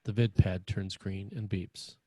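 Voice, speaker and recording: A man's voice: low-pitched, fairly even intonation, measured pace, dark tone, dry recording. A man narrating screenplay stage directions in a scripted read.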